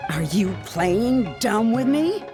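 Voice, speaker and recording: A woman's voice: mid-range voice, very animated, slow pace, music in the background. A woman voicing a proud, resentful young woman in a video game, speaking in a sarcastic, curt manner. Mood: scornful.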